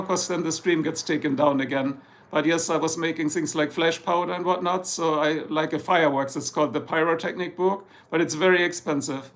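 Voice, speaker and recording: A man's voice: fairly high pitch, fairly bright tone. A man from Germany with a German accent, chatting casually to his livestream viewers, rambling and joking and slipping into German now and then. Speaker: livestream host. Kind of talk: casual livestream chat reading comments. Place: home in Ohio, US.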